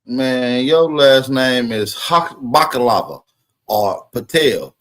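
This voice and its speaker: A man's voice: mid-range voice, animated, slow, fairly bright tone. Sarcastic male livestream co-host. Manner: sarcastic, mocking. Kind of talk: mocking commentary.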